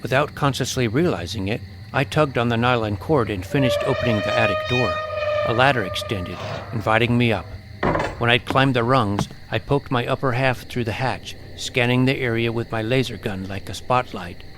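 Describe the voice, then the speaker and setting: A man's voice: mid-range voice, normal speed, background noise. A man giving a dramatic, scripted first-person narration of a suspense adventure story.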